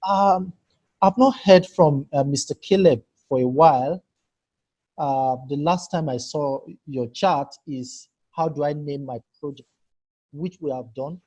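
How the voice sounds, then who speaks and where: A husky, mellow-toned man runs a live video-call workshop, stepping through a data tool on screen. He talks patiently and keeps checking in with attendees by name. His voice comes through a computer mic on the call. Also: on the high side, unhurried, poor audio. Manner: patient, asks participants for feedback by name. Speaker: online workshop trainer. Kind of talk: live screen-share tutorial.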